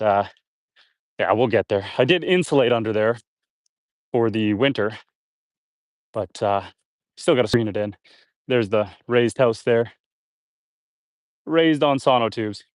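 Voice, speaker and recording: A man on a live stream from his homestead talks in a relaxed, chatty way as he works, stopping to read out viewer comments. He sounds a little nasal and congested. The sound is dry, with no room echo. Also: lowish voice, slow, pauses often. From Canada. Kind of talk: casual live-stream chat while building. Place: outdoors on a homestead.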